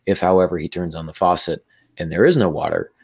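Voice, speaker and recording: A man hosting a writing podcast in a casual, halting way with many fillers, his voice worn down by laryngitis. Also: low-pitched, vocal fry, a little mellow, slightly rough. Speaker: podcast host. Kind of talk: casual podcast chat and teaching.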